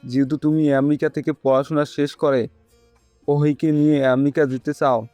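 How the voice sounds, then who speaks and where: A man playing a sober middle-aged father in an audio love story, measured and formal. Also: on the high side, little pitch movement, warm tone, dry recording, soft background music, lightly acted. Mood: serious, measured.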